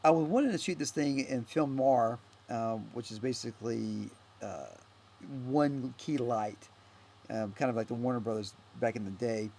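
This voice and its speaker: A man giving a casual, unscripted director's commentary as a filmmaker, rambling and halting as he goes, often trailing off. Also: mid-range voice, a little mellow. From United States.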